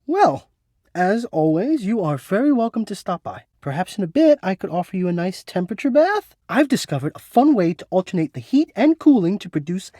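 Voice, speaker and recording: A man's voice: sits high, big pitch swings, brisk, dark tone, no room sound, studio quality. An actor voicing a sentient home ventilation system in a video game, an eloquent, dramatic male romantic with florid, formal delivery. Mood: courteous, welcoming. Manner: florid, theatrical, formal wording.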